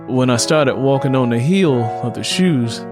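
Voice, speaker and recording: A man's voice: mid-range voice, animated, unhurried, music in the background, lightly acted. A man playing a downcast, wounded man with a cheerless tone in an audio drama. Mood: sad, resigned. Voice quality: cheerless, sad tone. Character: wounded, downcast man hiding old childhood pain.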